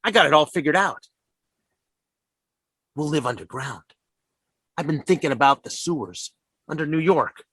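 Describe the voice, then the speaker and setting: A man's voice: a little high, expressive, moderate pace, pauses often, a bit bright, husky, dry recording, clean studio sound, heavily acted. An actor playing a ragged militia survivor who rambles and stammers through his scheme in a radio drama. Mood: scheming.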